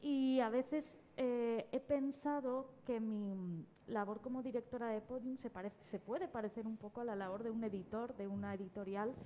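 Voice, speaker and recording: Mellow, higher-voiced woman. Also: steady tone, moderate pace, few pauses, a little hiss or hum, low-quality recording. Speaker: podcast director, guest panelist. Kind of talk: conversational panel discussion.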